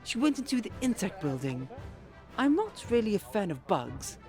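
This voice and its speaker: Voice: mid-range voice, big pitch swings, moderate pace, a little mellow, faint music behind. An actor playing a polite, eager alien host with formal, precise phrasing in an audio drama. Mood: polite, earnest.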